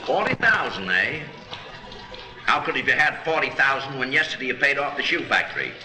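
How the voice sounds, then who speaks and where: An actor playing a shrewd, blunt small-town lawman in a 1930s film, deliberate and dryly sarcastic as he probes and accuses. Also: mid-range voice, sing-song, close to an everyday voice. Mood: probing, sardonic. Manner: deliberate, probing, with dry sarcasm. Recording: roomy 1930s film soundtrack, music underneath.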